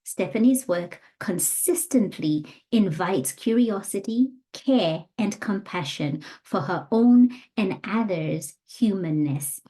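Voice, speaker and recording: A woman in her thirties hosts a podcast with a mellow, darker tone. She introduces her guest from a script, then asks questions in a measured, encouraging way. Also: medium pitch, lively intonation. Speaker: podcast host.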